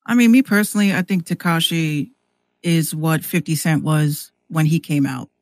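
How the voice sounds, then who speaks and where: A woman guesting on a podcast, chatting and telling stories casually in a slangy, halting way with repeated words. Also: low voice, no room sound. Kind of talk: casual chat, storytelling.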